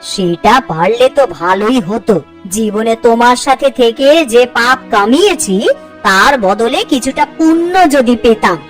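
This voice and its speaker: A practical, caring village housewife from a cartoon fairy tale, acted by a woman. Her voice sits fairly high and has a motherly, homely manner. It is a little off the mic, over soft music. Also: lively intonation, moderate pace, distant mic, lightly acted. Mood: weary retort.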